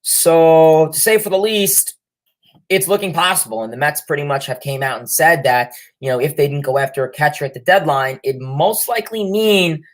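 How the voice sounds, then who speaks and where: A man's voice: on the high side, moderate pace. A young man hosting a sports livestream with a cold, sniffling as he reads viewer comments and rambles with lots of fillers and restarts. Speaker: livestream host. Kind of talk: casual sports chat reading viewer comments.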